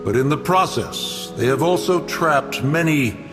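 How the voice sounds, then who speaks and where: A haughty, ancient male guardian spirit from a fantasy game, stern and stately. The delivery is slow and formal with sweeping pitch swings, ringing in long reverb over music. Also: lively intonation. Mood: aloof, dignified. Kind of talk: dramatic game voice acting.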